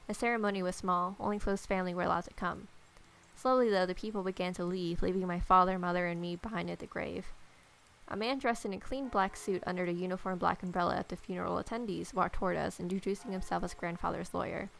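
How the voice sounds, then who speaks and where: A woman's voice: on the low side, steady tone, fast talker, vocal fry, fairly bright tone, slight background noise, rough recording. A woman reading a visual novel aloud, with irreverent, sarcastic asides. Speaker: let's player.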